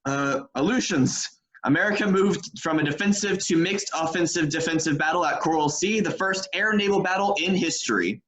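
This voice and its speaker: Male announcer in a roomy, echoing space, fairly high voice, scripted news-style read over a video call. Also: moderate pace, a bit bright. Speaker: announcer in a play reading. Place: echoey room on a video call.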